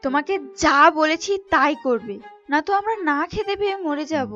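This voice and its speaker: A bossy, scheming village wife from an animated folk tale, played by a woman. Her voice is very high and commanding, over music on a slightly muffled track. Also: fairly quick. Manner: scolding, commanding. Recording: dull lo-fi sound with music behind.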